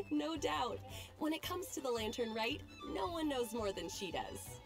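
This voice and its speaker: A woman's voice: sits high, animated, measured pace, music in the background, low-quality recording. A woman voicing a friendly, knowledgeable festival-goer in a video game, chatty and informative.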